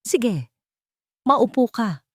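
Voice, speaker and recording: A woman's voice: fairly high pitch, sing-song, drawn-out words, breathy edge, no room sound, clean studio sound, voice pushed up, heavily acted. A woman voicing a composed, businesslike male employer in an audio story, brief and politely commanding.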